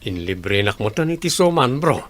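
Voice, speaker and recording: An actor playing an easygoing, curious young construction worker in casual audio drama dialogue. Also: male voice, medium pitch, sing-song, normal speed.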